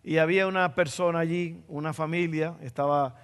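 High-voiced Dominican preacher in a hall. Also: male voice, fairly even intonation, fairly quick, fairly bright tone, smooth. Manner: playful, repeats phrases for emphasis. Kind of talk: sermon with jokes.

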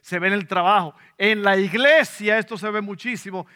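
A man's voice: high-pitched, normal speed, sharp tone. A man with a Dominican Spanish accent, preaching a sermon to a congregation, repeating key points and putting questions to them. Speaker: pastor.

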